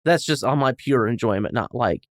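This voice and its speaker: A man's voice: mid-range voice, animated, normal speed, dry recording, clean studio sound. A man chatting casually and comically as a podcast guest, rambling and self-deprecating. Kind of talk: casual comedic chat.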